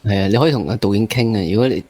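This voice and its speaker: A man with a Hong Kong accent hosting a casual interview, feeling his way through halting phrases with some English words mixed in. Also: fairly low pitch, dark tone, husky, no room sound. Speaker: interview host.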